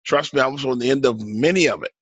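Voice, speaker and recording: A man's voice: medium pitch, fast, husky, dry recording, dull audio. A man speaking up at a live forum, talking emphatically and asking rhetorical questions. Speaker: audience member. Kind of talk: informal remarks at a live forum.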